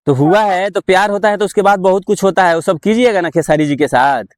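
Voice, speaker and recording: A man's voice: high-pitched, fast, no echo, studio quality. A man with a Bihari accent working as a reporter, questioning someone over the phone in a pushy, goading way. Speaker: local reporter interviewing by phone. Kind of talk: phone interview, casual confrontational questioning.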